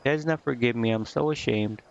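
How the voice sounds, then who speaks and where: A man voicing an earnest, naive female succubus from a video game, musing and halting as he reads her lines. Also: mid-range voice, vocal fry, clear, resonant tone, muffled recording, close to an everyday voice. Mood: ashamed. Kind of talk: reading video game dialogue aloud.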